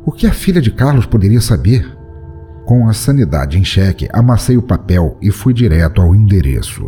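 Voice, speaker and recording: A man's voice: lowish voice, very animated, normal speed, mellow, faint music behind. A man reading a first-person horror story aloud in a dramatic, tense storytelling manner. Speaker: narrator.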